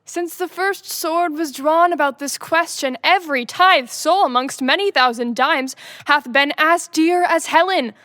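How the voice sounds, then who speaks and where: A woman playing a noble, principled warrior prince in a Shakespearean audio drama, earnest and measured. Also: high-pitched, pushed and bright, dry recording, clean studio sound.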